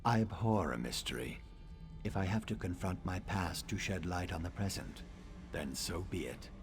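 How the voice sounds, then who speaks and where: An actor playing a scholarly reptilian assassin in a video game, speaking in a measured, formal, dryly sardonic way. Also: male voice, deep voice, lively intonation, gravelly, music in the background. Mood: resolute. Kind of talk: video game dialogue acting.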